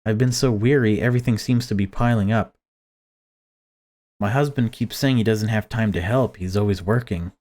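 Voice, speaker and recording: A man's voice: fairly low pitch, moderate pace, a little mellow, close to an everyday voice. Man voicing a controlling, falsely sweet mother. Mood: falsely sweet, weary. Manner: polite excuses and curt commands.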